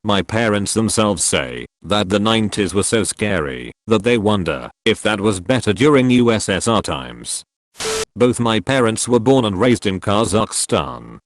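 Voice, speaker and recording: A man narrating, reading forum posts aloud in a steady, even delivery. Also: fairly low pitch, no echo.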